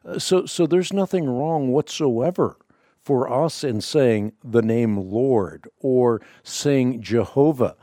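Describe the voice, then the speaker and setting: A man's voice: medium pitch, takes it slowly, dark tone, no echo, studio quality. A middle-aged man, a native Dutch speaker, hosting a radio call-in show and answering Bible questions in a precise, lecturing, emphatic way. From Netherlands.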